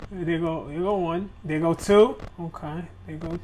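A man's voice: on the high side, fairly even intonation, measured pace, very noisy. A young man casually narrating his first run of a horror game, joking, swearing and talking straight to his viewers. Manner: loose, joking trash talk with slang and swearing. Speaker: gaming video creator. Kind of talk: live gameplay commentary.

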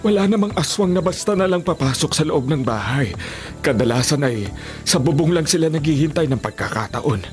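A man's voice: a little high, expressive, brisk, lightly acted. A man playing a protective, fatherly older villager who explains monster lore reassuringly in a Filipino radio drama. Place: small radio studio. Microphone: studio condenser microphone. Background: music bed.

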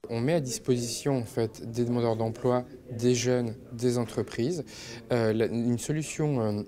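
A man in his forties with a dark, mellow tone talks through a service, pausing often with fillers. He is interviewed with a handheld microphone in an office corridor. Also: medium pitch. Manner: halting, with many fillers. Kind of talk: interview for a news report.

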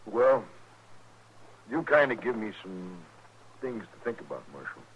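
Husky, halting cattle rustler. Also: male voice, on the low side, very animated, heavily acted. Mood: sheepish, hesitant. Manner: halting, rustic drawling grammar. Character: sheepish, hesitant cattle rustler of old West. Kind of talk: 1950s radio drama acting. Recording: dull 1950s radio recording.

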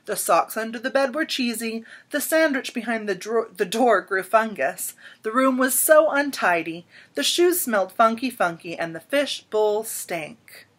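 A woman in her thirties reads a picture book aloud at home. Her voice sits a little high, and she tells it expressively, with dramatic emphasis and pauses. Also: lively intonation. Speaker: storybook reader. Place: small indoor room at home.

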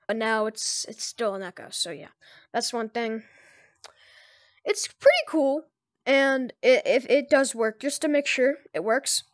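A speaker giving a casual, off-the-cuff software tutorial in a loose, rambling manner as host. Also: medium pitch, expressive, measured pace, no room sound, clean studio sound. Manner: rambling, loosely strung instructions.

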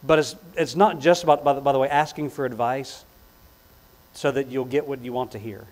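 A man's voice: mid-range voice, steady tone, a little fast. A middle-aged man preaching a sermon as a pastor, emphatic and repeating key phrases, with conversational asides. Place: indoors on a church platform. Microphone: lapel mic.

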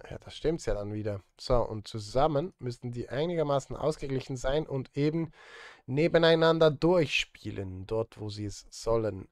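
A man's voice: medium pitch, expressive, normal speed, no room sound. A man in his thirties chatting casually on a livestream from a small studio, thinking aloud in informal murmured asides as he works. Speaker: livestreamer and film composer. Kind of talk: casual livestream chat, muttering while working. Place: small home music studio, indoors.

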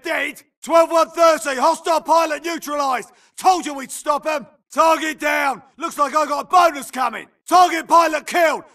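Video game soldier yelling urgent clipped battle callouts, bit off mic. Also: male voice, very high voice, distant mic, exaggerated voice. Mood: gloating, combative. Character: gruff, urgent infantry grunt soldier in battle. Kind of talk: shouted combat barks.

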